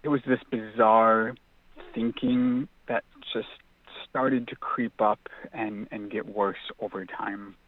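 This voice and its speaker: A man's voice: lowish voice, fairly even intonation, slow pace. A young adult man, an author and mental health advocate, calling in to a radio interview and speaking earnestly and reflectively, with frequent fillers. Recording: tinny phone line, dry.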